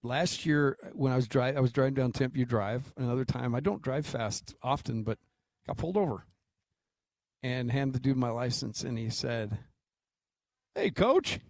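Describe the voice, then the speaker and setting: An American man co-hosting sports radio, telling joking anecdotes in a casual, unhurried way. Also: mid-range voice, lively intonation, a little mellow, dry recording. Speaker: sports radio co-host and coach.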